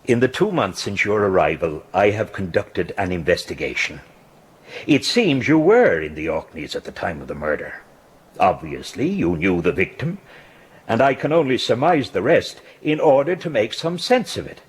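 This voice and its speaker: An actor as a very husky, courteous magistrate. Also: male voice, lowish voice, big pitch swings. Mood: measured, probing. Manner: formal, deliberate, articulate phrasing. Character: courteous, reasonable male magistrate, formal and sympathetic. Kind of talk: radio drama acting.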